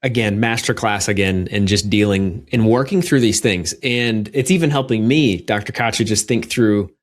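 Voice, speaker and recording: A man's voice: fairly low pitch, normal speed. A man hosting an interview as a coach, encouraging and affirming his guest. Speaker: host and coach. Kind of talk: conversational interview.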